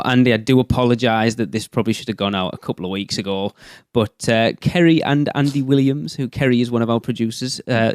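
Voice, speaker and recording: A man co-hosting a podcast, talking in brisk, teasing casual chat. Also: mid-range voice, clear, resonant tone, dry recording, studio quality. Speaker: podcast co-host.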